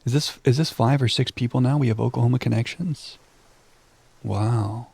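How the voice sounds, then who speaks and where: Mellow, creaky-voiced male game streamer. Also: mid-range voice, moderate pace, dry recording. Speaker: livestream game host. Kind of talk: casual chat with viewers, reading game text aloud.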